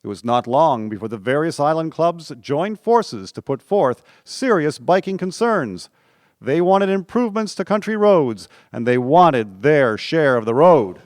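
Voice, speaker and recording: A man's voice: on the high side, big pitch swings, measured pace, no echo, clean studio sound. A man narrating a radio drama from a script, as a historical storyteller. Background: acoustic guitar music.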